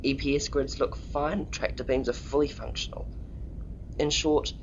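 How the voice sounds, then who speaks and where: A man's voice: steady tone, normal speed, lightly acted. A man playing a proud, eager chief engineer in a science-fiction audio drama, reporting with enthusiasm. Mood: proud, businesslike. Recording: dull track with faint rumbling noise.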